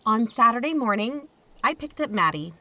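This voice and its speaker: A woman's voice: a little high, very animated, normal speed, pushed and bright, heavily acted. A woman playing a casual, wry young aunt who tells her own story in an audio drama. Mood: reflective, wry. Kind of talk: first-person audio drama narration and dialogue.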